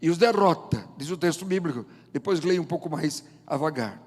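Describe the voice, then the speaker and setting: A man's voice: a little high, animated, a little mellow, breathy edge. Brazilian pastor in his seventies, reverberant hall. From João Pessoa, Paraíba, northeast Brazil. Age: 70s. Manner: deliberate, emphatic phrasing with rhetorical questions and pauses. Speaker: pastor, preacher. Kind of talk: sermon with scripture reading and prayer. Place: large indoor church auditorium. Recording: long hall reverb of church auditorium.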